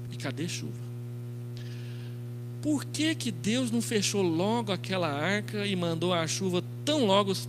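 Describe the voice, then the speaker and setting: A middle-aged Brazilian pastor preaches in a high male voice. He tells the story through quoted dialogue and rhetorical questions. The recording comes from a handheld microphone in a church, with clearly audible noise and mains hum. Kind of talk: expository sermon. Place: church stage, indoors.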